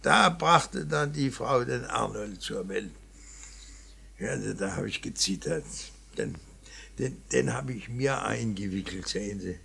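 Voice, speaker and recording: An actor playing a stern, embittered older painter in a German radio play, gravelly and husky, speaking in emphatic repetitions. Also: male voice, lowish voice, expressive, measured pace, sharp tone, a little hiss or hum, exaggerated voice. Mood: brooding, troubled. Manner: blustery, emphatic, repetitive, muttering asides.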